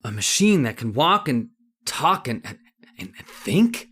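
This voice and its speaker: A man playing a courteous, wide-eyed young scientist in a radio drama, with a halting delivery. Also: mid-range voice, sing-song, a bit bright, husky, heavily acted. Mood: amazed. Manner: halting, breathlessly amazed, courteous. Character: astonished, courteous young male scientist guest. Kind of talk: old-time radio drama acting.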